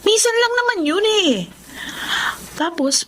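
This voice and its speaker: A woman acting a pushy, scheming schoolgirl in a Filipino radio drama, coaxing and animated, with a Filipino accent. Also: extremely high pitch, a little slow, slight background noise, heavily acted. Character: scheming, pushy teenage schoolgirl who uses her friend. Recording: background music under the dialogue.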